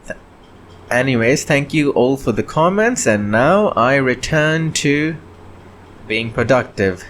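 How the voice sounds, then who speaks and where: A man chatting casually and haltingly as he thinks aloud while working on his indie game devlog. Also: mid-range voice, expressive, a bit bright, slight background noise. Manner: halting, often clearing throat.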